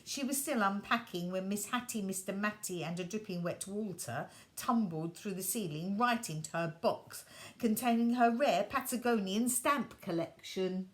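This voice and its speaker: A woman reading a children's bedtime story aloud, gentle and expressive, sometimes dropping her voice soft. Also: mid-range voice, normal speed.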